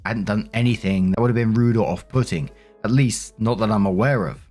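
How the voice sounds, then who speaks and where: A man's voice: fairly low pitch, brisk, a little mellow, faint music behind. A man hosting and narrating online stories, mixing scripted reading with casual spoken commentary.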